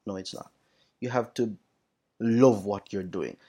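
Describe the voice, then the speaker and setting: A man's voice: on the low side, measured pace, mellow. A man with a Jamaican accent talking casually as a teacher reflecting on life abroad, emphatic and repeating phrases to stress them. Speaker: teacher sharing experiences of working abroad.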